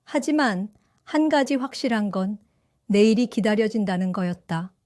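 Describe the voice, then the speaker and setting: Gentle older woman telling her story. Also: mid-range voice, lively intonation, moderate pace, no echo, clean studio sound. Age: 70s. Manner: gentle, reflective, wistful. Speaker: first-person narrator. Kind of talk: storytelling narration for an audio drama.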